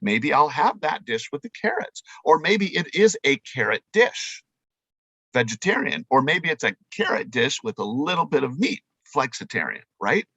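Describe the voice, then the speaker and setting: A man's voice: fairly high pitch, normal speed, husky, no echo. A man who works as a chef and presenter, talking in a casual, playful, emphatic interview style. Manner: animated, informal, playful, emphatic.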